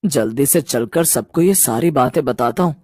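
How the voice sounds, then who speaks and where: A man's voice: mid-range voice, moderate pace, darkish tone, dry recording, clean studio sound, natural voice. A man voicing a worried, earnest village boy in a cartoon horror story. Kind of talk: animated horror story dialogue.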